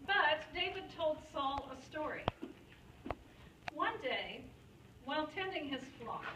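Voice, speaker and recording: A woman's voice: high voice, expressive, takes it slowly. A woman narrating a puppet show in a storytelling style. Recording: echoey room, distant mic, clear noise.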